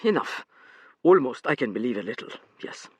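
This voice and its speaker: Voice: medium pitch, sing-song, normal speed, husky, no room sound. An audiobook reader voicing a skeptical, exasperated man who speaks sharply. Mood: brusque.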